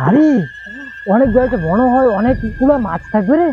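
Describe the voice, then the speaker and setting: A man playing an excitable, eager village fisherman in an amateur horror-comedy skit, exclaiming and repeating himself. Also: very high-pitched, fairly quick, noisy background. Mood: excited. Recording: dull lo-fi sound with loud music.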